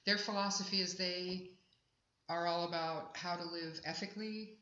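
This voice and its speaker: Chatty woman in her sixties, bright voice. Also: fairly low pitch, fairly even intonation, normal speed. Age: 60s. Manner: chatty, warm and reflective, rambling. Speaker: singer-songwriter guest. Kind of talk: casual interview at an outdoor festival. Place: festival grounds. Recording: echoey, dull live field recording.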